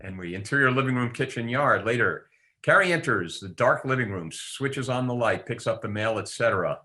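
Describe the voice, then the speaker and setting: A man's voice: medium pitch, animated, fairly quick, pushed and bright. A man reading script stage directions aloud at a table read.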